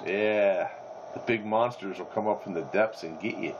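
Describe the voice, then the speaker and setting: Deep-voiced American man on CB radio. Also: normal speed. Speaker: CB radio operator chatting on air. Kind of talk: casual chat. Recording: dull CB radio audio, loud static.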